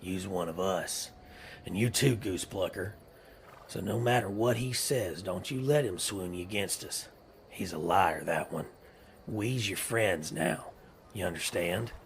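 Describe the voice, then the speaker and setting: An actor playing a scheming, menacing western gang boss with a gravelly voice and a rural American drawl, commanding and slyly coaxing, in an audio drama. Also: male voice, deep voice, measured pace, slight background noise.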